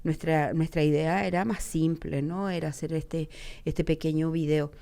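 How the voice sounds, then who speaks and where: Enthusiastic woman from Chile, interview guest, lively storyteller who voices the quotes. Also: low-pitched, moderate pace, gravelly. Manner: enthusiastic, acts out quoted dialogue. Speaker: guest, photojournalist and filmmaker. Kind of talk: animated storytelling.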